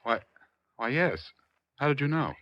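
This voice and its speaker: A man's voice: mid-range voice, lively intonation, unhurried, no room sound, natural voice. An American man playing an anxious, distraught husband in his late thirties in a 1940s radio drama, hesitant and earnest. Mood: embarrassed. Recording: muffled 1940s radio recording.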